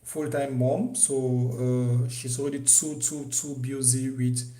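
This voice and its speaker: Animated man from Cameroon, echoey room. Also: mid-range voice, slow pace. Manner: animated, repetitive, frequent restarts and fillers. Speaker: online business course instructor. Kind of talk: unscripted course presentation.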